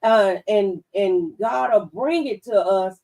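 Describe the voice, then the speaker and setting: A woman's voice: mid-range voice, slow, mellow. A woman chatting on a radio talk show. She drives her points home with plain, homely analogies and advice. She is picked up a little far from the mic, so her voice sounds set back. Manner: folksy, preachy, gives advice through plain analogies. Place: radio studio.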